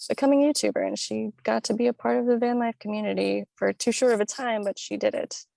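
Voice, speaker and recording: Chatty young woman with gravelly fry. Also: fairly high pitch, steady tone, quick pace, dark tone, no room sound. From born in Italy, raised in northern Florida. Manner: chatty, upbeat, frequent "like" and laughs. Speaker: podcast guest. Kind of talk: casual chat.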